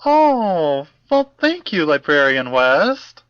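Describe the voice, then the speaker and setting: Actor doing a pompous prim eccentric guy, super animated, florid wording, dry slightly dull recording. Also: fairly high pitch, slow pace, smooth, no room sound, heavily acted. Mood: prim, affronted. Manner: pompous, florid, formal diction. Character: prim, theatrical, earnest eccentric man. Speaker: actor in an audio drama. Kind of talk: fiction podcast acting.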